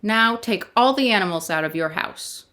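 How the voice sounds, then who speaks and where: Woman doing a chill old wise man, slow and sure of himself. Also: medium pitch, lively intonation, sharp tone. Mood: calm.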